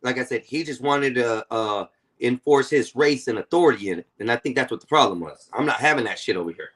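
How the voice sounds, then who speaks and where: A man's voice: mid-range voice, a little fast, a bit bright, slightly rough. A man in his forties hosting a live-stream panel, talking bluntly and confrontationally and swearing freely. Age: 40s. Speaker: stream host.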